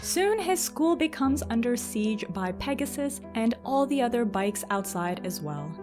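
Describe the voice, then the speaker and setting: Higher-voiced woman narrator over music. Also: big pitch swings, a little slow. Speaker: host and narrator of a countdown video. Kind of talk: scripted narration about cartoons. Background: music behind the voice.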